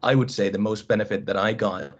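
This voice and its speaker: A man's voice: on the low side, steady tone, fast. A man, giving a webinar presentation as a guest coach, hesitant and often restarting his phrases. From Finland. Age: 30s. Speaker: college squash coach, guest speaker. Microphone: video call. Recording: dull lo-fi video call audio.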